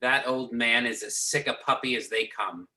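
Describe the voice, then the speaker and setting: A man playing a gruff, disgusted raid team commander in amateur readers theater. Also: mid-range voice, moderate pace, pushed and bright. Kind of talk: amateur readers theater over a remote call. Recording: roomy echo on remote call.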